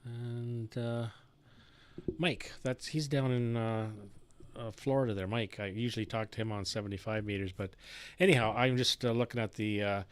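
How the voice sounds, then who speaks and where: A man hosting a casual amateur radio chat, talking technical shop in a halting, rambling way into a close studio microphone. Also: mid-range voice, steady tone, smooth. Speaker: amateur radio operator and live-stream host. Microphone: close studio broadcast microphone.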